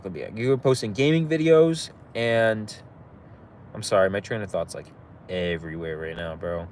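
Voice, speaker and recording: A man's voice: lowish voice, slight background noise. A young man with a slight cold hosts a casual live stream outdoors on his phone, rambling and laughing easily with viewers. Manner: laid-back, rambling, full of "like" and "bro", laughs easily. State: has a slight cold, sniffly. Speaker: YouTuber hosting a live stream. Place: outdoors, across the street from a police station.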